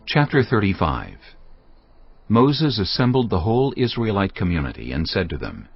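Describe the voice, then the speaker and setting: A male narrator with a very deep voice and a lively, expressive rise and fall in pitch. He tells the story steadily over background music, and the sound is slightly muffled. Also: moderate pace, husky. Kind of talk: scripted narration. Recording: dull band with music bed.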